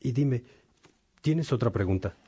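A man playing an earnest, fatherly monk and mentor in a radio drama, speaking in a measured, formal way. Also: mid-range voice, expressive, warm tone, breathy edge. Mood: kindly, reflective.

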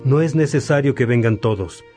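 A man acting as a kindly, wise bishop and judge in a radio drama, speaking in a measured, paternal, pastoral way. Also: mid-range voice, a little mellow, close to an everyday voice. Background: music.

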